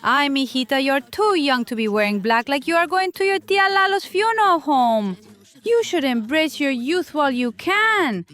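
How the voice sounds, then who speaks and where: A woman's voice: high-pitched, expressive, normal speed, fairly bright tone. A woman playing a doting, chatty aunt, gushing and fussy in an audio drama. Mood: fussing. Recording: music behind the voice.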